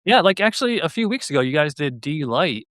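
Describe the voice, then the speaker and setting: A man's voice: fairly high pitch, sing-song, a little fast, a bit bright, no room sound. Casual male podcast host, dry clean sound.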